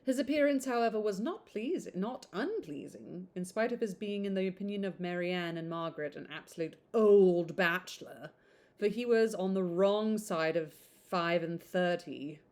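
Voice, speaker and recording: A woman reading a classic novel aloud in an informal, expressive way, stumbling over some words and adding chatty asides. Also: mid-range voice, normal speed.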